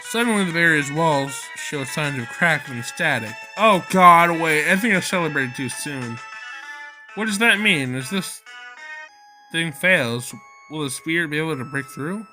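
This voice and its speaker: A man's voice: a little high, expressive, measured pace, pushed and bright, rough recording. A man doing a visual novel let's play, reading narration aloud and reacting in slangy, exclamatory casual speech. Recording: prominent background music. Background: music.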